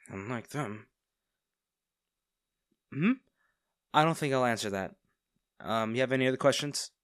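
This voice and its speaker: A man playing a smug, teasing villain with hidden fondness for a captive hero, in an intimate audio roleplay, coy and full of drawn-out pauses. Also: mid-range voice, a bit bright, dry recording, clean studio sound.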